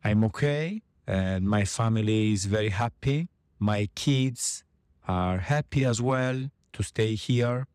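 A man from Italy with an Italian accent, a non-native speaker leaving a hesitant voice message full of pauses. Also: lowish voice, darkish tone, clean tone, no room sound, studio quality. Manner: hesitant, many pauses and fillers, searching for words. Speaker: listener sending a voice message. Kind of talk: recorded voice message.

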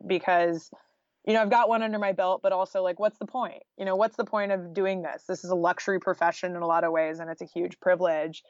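A woman's voice: lowish voice, steady tone, fast talker, no room sound, highs cut off. A woman talking casually and informally as an interview guest, peppering her speech with "like" and "you know". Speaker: guest author and journalist.

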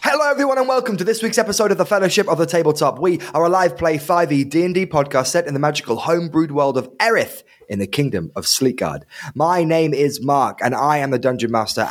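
A man running a tabletop game podcast, introducing the players with loose, joking banter. His pitch swings up and down a lot as he talks, sitting a little high. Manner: jokey, rambling, stumbles over names. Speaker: dungeon master and host.

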